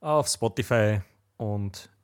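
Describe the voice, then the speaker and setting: A man's voice: lowish voice, takes it slowly, smooth. A man in his thirties with an Austrian accent, co-hosting a podcast with teasing, jokey chat. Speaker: podcast co-host. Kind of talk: casual chat with banter.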